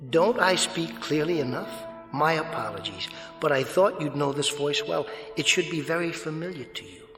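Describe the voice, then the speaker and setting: Hushed, mocking inner alter ego. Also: male voice, fairly high pitch, normal speed, echoey room, big-room sound, faint music behind. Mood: condescending. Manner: polite, precise, sardonic, unruffled. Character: jockey's mocking, urbane alter ego, a voice in his head. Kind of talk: radio drama acting.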